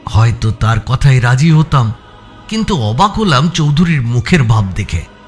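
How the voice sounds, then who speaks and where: A man narrating a suspense audio story in the first person, with expressive, dramatic delivery. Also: medium pitch, normal speed. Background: background music.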